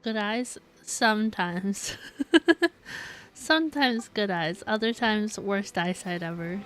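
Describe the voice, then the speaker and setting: A woman's voice: medium pitch, takes it slowly, fairly bright tone, soft background music. A woman streaming a game with casual, chatty commentary in a giggly, rambling way.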